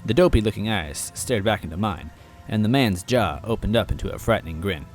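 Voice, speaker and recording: A man reading a first-person story aloud in expressive, scripted narration. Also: lowish voice, brisk, soft background music. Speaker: story reader.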